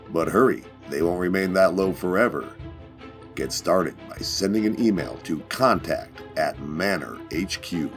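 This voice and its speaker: Male announcer, very low register, scripted promotional read with music underneath.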